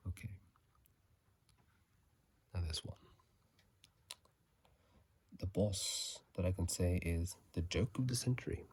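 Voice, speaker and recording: A man doing whispered ASMR commentary on a video game, soft and breathy, musing in a halting, casual way, close to a phone mic. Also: low-pitched. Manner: halting, musing, unhurried delivery. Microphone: close phone microphone.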